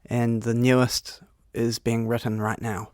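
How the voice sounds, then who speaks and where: A man's voice: medium pitch, level tone, measured pace, darkish tone, no echo, clean studio sound. Easygoing New man, cellist guest. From New Zealand. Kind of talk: relaxed interview answers. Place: radio studio.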